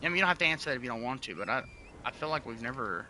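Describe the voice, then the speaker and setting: Young male streamer, bright tense voice, casual and profane, light hiss behind. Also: medium pitch, racing, rough recording. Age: 20s. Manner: relaxed, swears freely, chats to viewers. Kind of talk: casual livestream chat.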